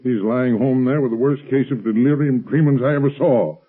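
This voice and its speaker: A man's voice: mid-range voice, fairly quick, breathy edge, narrow, tinny audio, natural voice. An actor playing an irate, demanding old banker and father in 1930s radio drama style. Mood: angry, blustering. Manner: blustering, demanding.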